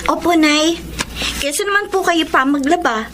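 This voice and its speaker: A woman's voice: sits high, moderate pace, natural voice. A woman playing a cheerful, helpful younger daughter in a Filipino radio drama. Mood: dutiful. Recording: faint background noise.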